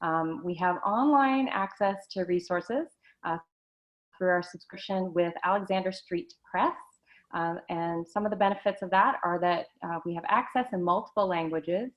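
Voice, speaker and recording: A woman supervisor giving an online presentation, speaking slowly with many ums and uhs. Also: on the low side, dull audio. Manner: slow, halting, many fillers. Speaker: learning center supervisor.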